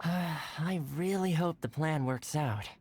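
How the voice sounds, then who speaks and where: An actor playing a friendly, boyish young retainer in an anime game, casual and expressive in delivery. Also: mid-range voice, slow, a little hiss or hum, close to an everyday voice. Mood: hopeful worry. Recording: background music under the voice. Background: music.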